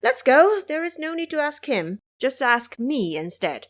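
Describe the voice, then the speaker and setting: Proud male monkey warrior, hot-tempered, scornful, brash, animated high swings, audio drama acted by a woman. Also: a little slow.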